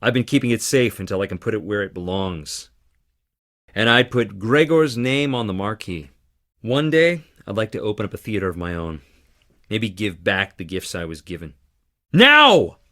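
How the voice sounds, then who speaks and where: An actor playing a charming, theatrical theater performer with dramatic flair in a video game. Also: male voice, medium pitch, very animated, measured pace, fairly bright tone. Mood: wistful, sincere, then angry, hurt. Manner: theatrical, dramatic, swinging between playful and intense. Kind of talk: video game character dialogue.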